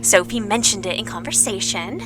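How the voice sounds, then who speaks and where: A woman's voice: mid-range voice, normal speed, close to an everyday voice, low-quality recording. A woman playing a young adult who is bitter and accusing, feeling left out, in an audio drama. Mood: bitter, tearful. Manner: accusing, emotional, self-pitying. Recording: music behind the voice.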